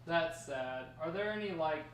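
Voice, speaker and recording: Highish, echoey teenage grandson, read by a man. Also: expressive, measured pace. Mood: curious. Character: curious, self-doubting high-school grandson questioning his grandfather. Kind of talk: amateur table read of a script. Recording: echoey room, distant mic, faint noise.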